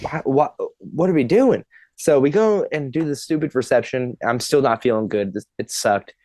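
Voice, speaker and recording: A young man in his twenties tells a story on a podcast with loose, laughing energy and the odd curse word. His voice sits in an ordinary middle pitch. The recording is dry and close, with no room sound. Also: normal speed, dry recording. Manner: animated, laughing, swearing storyteller. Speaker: podcast guest, drummer. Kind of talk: casual storytelling chat.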